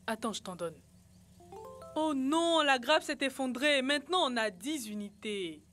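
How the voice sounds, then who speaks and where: A woman voicing a sensible, careful young friend in a children's educational cartoon, explaining things clearly. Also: high voice, animated, unhurried, fairly bright tone, soft background music. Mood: concerned.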